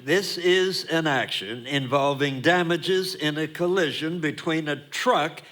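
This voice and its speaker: An actor playing a polite, stammering, bewildered trial lawyer in an old-time radio comedy. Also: male voice, on the high side, a little slow, fairly bright tone. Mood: earnest, baffled.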